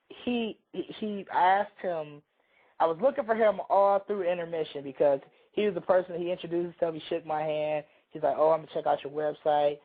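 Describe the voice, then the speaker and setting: A hoarse man chats casually on a talk show, rambling through a story and often restarting his sentences. His voice sits a little high for a man. The sound comes through a thin, phone-like line. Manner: rambling, self-interrupting storyteller. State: hoarse from a cold. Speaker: radio show co-host.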